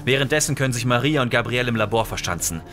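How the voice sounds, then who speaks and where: An actor playing a tense, sardonic polar-station scientist in an audio thriller, speaking formally and with urgency. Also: male voice, medium pitch, expressive, rapid-fire, music in the background, natural voice. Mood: determined.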